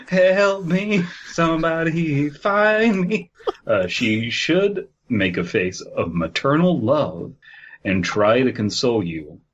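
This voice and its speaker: A man's voice: a little high, big pitch swings, takes it slowly, muffled recording. A man co-hosting a comedy podcast, chatting casually and riffing as he reads a horror story aloud. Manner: joking, riffing with mock quotes. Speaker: podcast co-host.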